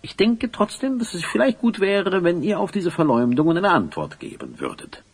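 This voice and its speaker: A man's voice: high voice, very animated, normal speed, breathy edge, heavily acted. An actor playing an awkward, meekly persistent village newsman in a children's audio drama, talking formally and hesitantly. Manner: hesitant, stammering, long-winded, formal.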